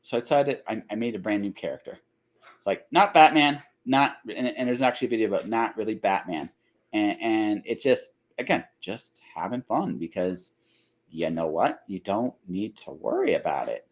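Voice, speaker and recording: A man's voice: fairly low pitch, animated, normal speed. A man hosting a podcast in casual chat, rambling as he repeats and rephrases himself. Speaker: podcast host.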